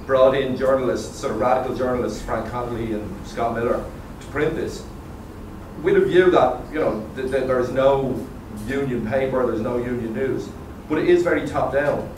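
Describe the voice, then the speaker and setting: Middle-aged Dubliner posing a lengthy question from the floor; distant, roomy recording. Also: male voice, mid-range voice. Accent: Dublin Irish. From Ireland. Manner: long, considered question. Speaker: audience member asking a question. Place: meeting room, in the audience. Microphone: far camera. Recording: echoey room, distant mic, noisy.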